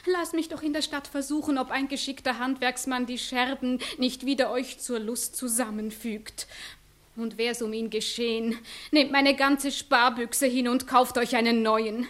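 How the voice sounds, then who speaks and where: An actress playing a distressed, earnest young village bride in a classical 1960s radio play, urgent and beseeching. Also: female voice, a little high, fairly even intonation, measured pace, fairly bright tone. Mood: urgent pleading. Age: young adult. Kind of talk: 1960s German-language radio play, classical stage acting.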